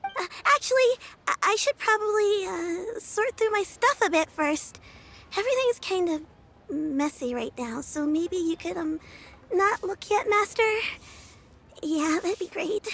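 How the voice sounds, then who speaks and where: A woman's voice: very high-pitched, lively intonation, a little slow, fairly bright tone, exaggerated voice. A woman playing a shy, earnest and polite young girl apprentice in an anime game, with hesitant delivery. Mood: hesitant, evasive.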